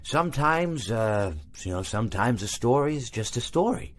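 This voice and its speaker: A man's voice: mid-range voice, expressive, normal speed, a little hiss or hum, natural voice. A man voicing a long-winded male hippo storyteller from a game, meandering from tangent to tangent with stammered restarts. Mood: rambling. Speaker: game character voice lines. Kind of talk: game voice acting.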